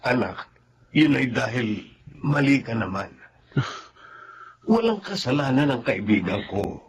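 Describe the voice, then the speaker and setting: A man's voice: medium pitch, very animated, measured pace, noisy background. A man acting a patient, resigned father of a grown son in a Filipino radio drama. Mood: earnest.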